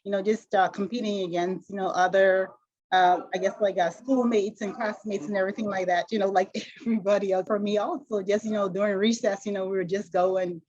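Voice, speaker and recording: A middle-aged woman from the Bahamas with a Bahamian accent, answering interview questions in a halting way, full of fillers and restarts. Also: medium pitch, fairly even intonation, darkish tone, lo-fi sound. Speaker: interview guest, retired sprinter.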